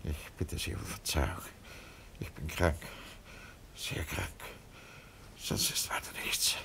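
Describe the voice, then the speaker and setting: An actor in a radio crime drama playing an anxious, evasive, sickly middle-aged father, halting and flustered as he talks. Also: rumbling low, animated, gravelly, bright, forceful tone, a little hiss or hum, full character voice. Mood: evasive, feeble.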